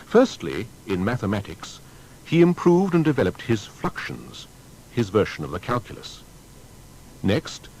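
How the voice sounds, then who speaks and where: A man's voice: slightly rough, rough recording. A man reading scripted documentary narration in an articulate way with emphatic phrasing. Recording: faint noise and low rumble.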